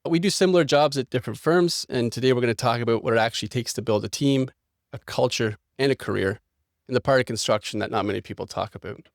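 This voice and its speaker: A man's voice: mid-range voice, quick pace, vocal fry, no room sound, studio quality. A man hosting a podcast, upbeat and conversational as he asks his guest questions. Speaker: podcast host. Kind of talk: podcast interview.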